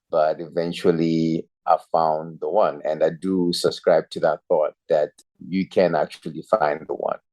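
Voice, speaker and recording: Deep-voiced man, earnest. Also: a little mellow. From Zimbabwe. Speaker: guest, youth pastor. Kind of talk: podcast interview, personal story.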